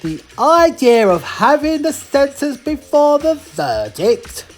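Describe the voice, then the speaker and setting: A woman's voice: high-pitched, very animated, slow pace, faint music behind, voice pushed up, heavily acted. A woman voicing a young storybook girl, polite but outspoken and given to complaint. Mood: indignant. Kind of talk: storybook character reading.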